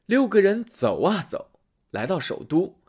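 A man reading a fairy tale aloud as a storyteller, expressive and animated. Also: sits high, a little slow, breathy edge.

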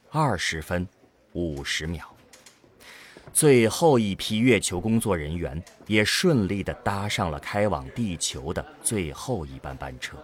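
Dude narrating a radio play, kinda low n husky, lots of movement in the voice. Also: male voice. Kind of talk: scripted audio drama narration.

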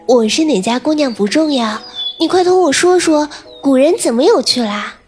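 A woman's voice: high voice, animated, measured pace, a little mellow, faint music behind. A woman playing an innocent, playful young carp-spirit maiden in an audio drama, with an inquisitive manner. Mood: playful, curious. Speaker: voice actress.

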